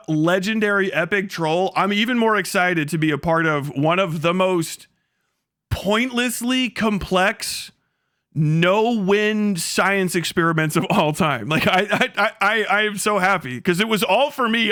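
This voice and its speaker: A man's voice: high-pitched, unhurried, pushed and bright, no echo, clean studio sound. A man giving casual livestream commentary as the host, rambling and stammering with plenty of swearing and an amused manner. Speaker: live streamer, host of the channel.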